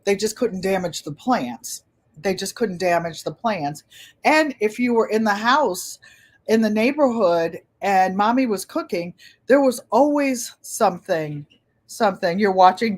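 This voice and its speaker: A woman in her seventies hosting a casual livestream, chatting in a gentle, pleasant voice and rambling as she often repeats her phrases. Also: mid-range voice, measured pace. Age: 70s. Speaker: channel host.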